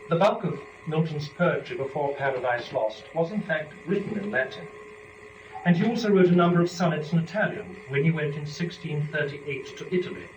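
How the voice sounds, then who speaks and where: A man speaking in a formal, deliberate, articulate way, as a performer presenting poetry to listeners. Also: medium pitch. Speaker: performer and lecturer. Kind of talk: dramatic poetry reading and introductory lecture. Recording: echoey room, distant mic, dull, noisy.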